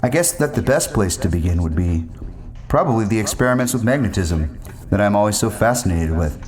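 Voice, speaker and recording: Low-voiced man telling a rambling story. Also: lively intonation, a little fast, a little mellow. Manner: wordy, ornate, rambling. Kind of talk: first-person storytelling narration.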